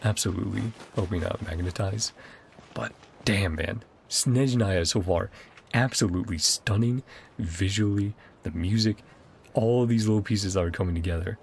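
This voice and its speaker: Low, gravelly-voiced male game streamer. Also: normal speed, slight background noise. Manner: rambling, enthusiastic. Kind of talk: casual livestream commentary wrapping up a game session. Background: game music.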